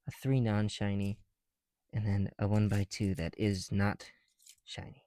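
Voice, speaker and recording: A man's voice: fairly low pitch, dark tone, no echo. A man making a casual ASMR video, chatting in a self-deprecating way with small asides as they go. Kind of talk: ASMR, casual chat while building bricks. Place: on the bedroom floor at night.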